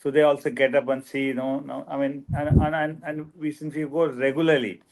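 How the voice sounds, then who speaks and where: A man speaking on an online panel about filming wildlife, halting and repeating words as he searches for them. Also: on the high side, little pitch movement, a little mellow. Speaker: guest speaker, wildlife filmmaker. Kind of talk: answering questions on a panel.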